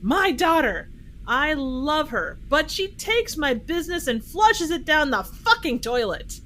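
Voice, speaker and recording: A woman's voice: sits high, big pitch swings, a little slow, a bit bright, a little hiss or hum, exaggerated voice. A woman playing a cocky, sardonic, foul-mouthed ex-convict gangster in an amateur audio drama. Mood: mocking.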